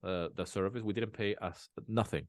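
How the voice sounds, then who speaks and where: A man's voice: fairly low pitch, moderate pace, no room sound, clean studio sound. A man as an interview guest, talking casually at home with many restarts and fillers. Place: home in a small town.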